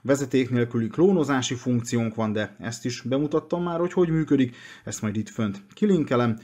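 A man's voice: mid-range voice, a little mellow. A man presenting a casual, hands-on product unboxing and walkthrough.

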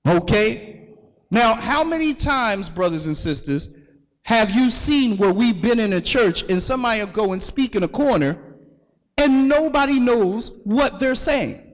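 A man delivers a sermon with a notably high voice, pausing to have scripture read aloud and echoing the lines back to the gathering. The sound carries a long reverb from a large hall. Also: animated. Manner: calls for readings and repeats the verses back to the congregation. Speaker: preacher.

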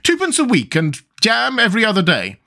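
Man putting on a high, plaintive, dotty queen. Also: huge pitch swings, a little fast, gravelly, sharp tone, full character voice. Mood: dotty, insistent. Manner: plaintive, wavering, melancholy. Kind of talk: storybook character voice.

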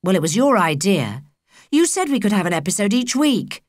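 A woman's voice: mid-range voice, sing-song, moderate pace, no room sound, clean studio sound, natural voice. A British woman voicing a cocky, sardonic young boy with a blunt, offhand manner in a children's audiobook. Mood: matter-of-fact.

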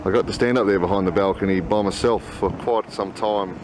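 A man's voice: fairly low pitch, brisk. A middle-aged man narrating his travels casually to a camera held at arm's length outdoors. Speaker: travel vlogger. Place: outdoors by motel and city streets. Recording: noisy outdoor camcorder audio, street sounds.

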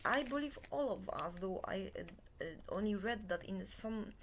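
A woman in her forties, a Polish native speaker, giving a halting, half-whispered talk into a laptop webcam in a small bed-and-breakfast room. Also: mid-range voice, lively intonation, noisy background. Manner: halting, many filler pauses, often half-whispered. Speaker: self-help lecturer. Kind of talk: slow unscripted monologue to webcam.

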